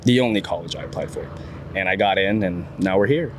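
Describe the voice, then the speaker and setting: A man's voice: low-pitched, a little fast, slight background noise. A young man who grew up in the Philippines, a college student chatting as a guest in a laid-back, slangy way. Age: young adult. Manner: laid-back, slangy, says dude and bro a lot. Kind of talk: casual chat.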